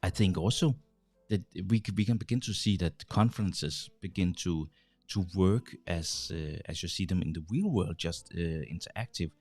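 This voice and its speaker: A man in his forties with a Danish accent, hosting a channel and talking casually to the camera from a small home studio, halting, with many restarts and fillers. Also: deep voice, a little mellow, dry recording, soft background music. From Denmark. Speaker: host. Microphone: large studio mic on boom arm, headphones.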